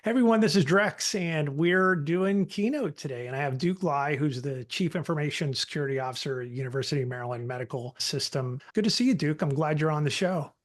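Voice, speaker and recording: A man's voice: fairly high pitch, brisk, hardly any pauses. A middle-aged man hosting an interview, friendly and chatty, asking long, rambling questions. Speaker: host.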